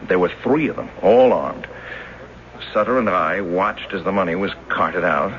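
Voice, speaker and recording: An actor in a radio drama playing a mild, earnest schoolteacher who speaks in a halting, hesitant way. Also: male voice, low-pitched, a bit bright. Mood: earnest, recalling. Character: earnest mild schoolteacher, former bank teller, recalling a robbery. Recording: dull hissy old radio recording.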